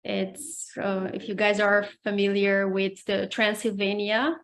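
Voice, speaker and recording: A woman's voice: medium pitch, flat delivery, measured pace. A woman from Romania in her forties, a guest answering interview questions in a friendly, chatty way. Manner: friendly, chatty, laughs easily. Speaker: guest, personal development coach. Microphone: video call.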